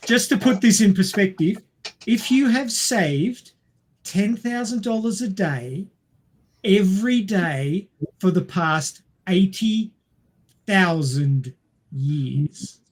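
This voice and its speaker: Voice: mid-range voice, expressive, takes it slowly, a little hiss or hum. A podcast panelist in casual group chat, speaking in a playful, explanatory way. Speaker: podcast panelist posing questions.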